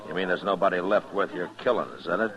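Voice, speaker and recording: An American actor playing a stern, steady frontier lawman in an old radio Western, husky and terse with a dry, unflinching delivery. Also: low voice, fast, highs cut off. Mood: dry, challenging. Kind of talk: 1950s Western radio drama acting.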